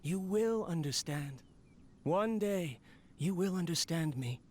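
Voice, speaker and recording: A man's voice: on the high side, expressive, slow pace. A man voicing a proud, ambitious researcher in a video game, grandiose as he addresses his machine. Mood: proud, hopeful. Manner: grandiose, declaiming.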